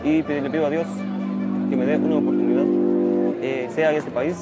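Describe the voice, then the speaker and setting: A man's voice: a little high, fairly even intonation, fairly quick, muffled recording. A man from Central America gives earnest, prayerful testimony in a street interview. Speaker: migrant interviewee. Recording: outdoor street recording with crowd and music.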